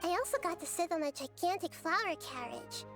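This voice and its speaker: A gentle, dreamy childlike goddess. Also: female voice, very high voice, lively intonation, brisk, over music. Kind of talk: video game voice acting.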